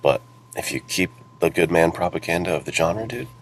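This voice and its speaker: An extremely low-voiced man with a gravelly creak chats as a podcast co-host. He is informal and plain-spoken, with a faint hum of noise behind him. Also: animated, brisk. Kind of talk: casual podcast chat.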